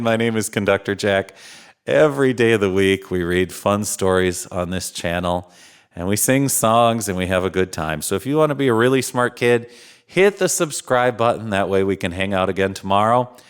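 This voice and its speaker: A man's voice: fairly low pitch, clear, resonant tone. A man reading a picture book aloud to young children as a playful storytime host, talking to them like friends. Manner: playful, chuckling, addressing young listeners as friends.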